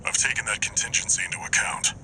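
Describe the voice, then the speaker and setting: An actor playing a stern older mercenary handler in a video game, speaking in a terse, grave, controlled way over missions. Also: male voice, fairly low pitch, lively intonation, fast talker, heavy background noise, close to an everyday voice. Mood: terse, commanding.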